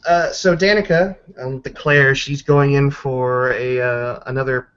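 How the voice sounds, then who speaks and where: A man casually narrating a tabletop roleplaying fight, halting and thinking aloud as he goes. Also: mid-range voice, steady tone, fairly bright tone, smooth. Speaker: player narrating a character's combat moves. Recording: dull lo-fi voice recording.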